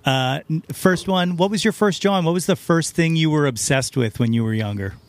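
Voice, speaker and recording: A man's voice: on the high side, moderate pace. A man hosting a podcast, asking questions in a casual, conversational interview style. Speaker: podcast host.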